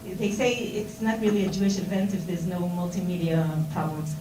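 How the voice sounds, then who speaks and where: A woman's voice: fairly low pitch, fairly quick. A middle-aged Israeli woman giving an informal talk to seminar students, with a Hebrew accent in her English and many fillers and restarts. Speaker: speaker at a seminar. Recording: roomy and distant, with noise.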